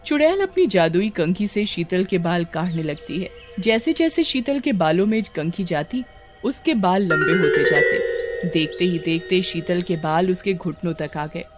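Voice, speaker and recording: A woman narrating a scripted children's animated story as the storyteller. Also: on the low side, unhurried, over music.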